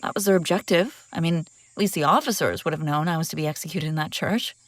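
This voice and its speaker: A woman's voice: deep voice, fast talker, no room sound, natural voice. A woman playing a commanding, wry escaped princess in an audio roleplay, speaking dramatically and expressively straight to the listener. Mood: puzzled, probing. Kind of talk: immersive audio roleplay acting, one side of a dialogue.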